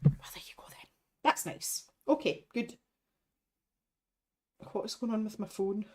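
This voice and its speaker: A woman's voice: medium pitch, a little slow, warm tone, husky, whispered. A woman in her thirties, chatting casually on a livestream, rambling and laughing as she reads out product blurbs. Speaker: art supplies streamer. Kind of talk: casual unboxing livestream chat.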